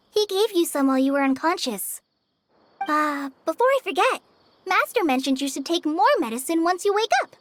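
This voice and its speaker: A woman's voice: extremely high pitch, a little hiss or hum, exaggerated voice. A woman voicing an earnest, modest young trainee forest ranger in a video game, polite and a little hesitant. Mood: modest, helpful.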